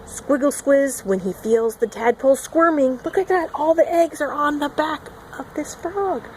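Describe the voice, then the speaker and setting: High-voiced woman reading to young children. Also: moderate pace, slight background noise, low-quality recording. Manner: expressive, acting out animal sound words. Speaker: children's teacher reading aloud. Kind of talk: picture-book read-along with asides to young children.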